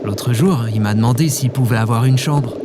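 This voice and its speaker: Hapless defensive man, played by an actor, informal grumbling, audio drama, loud music underneath. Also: mid-range voice, moderate pace, natural voice. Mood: worried. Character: hapless, defensive male archive keeper, affectionate worrier. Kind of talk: scripted audio drama acting.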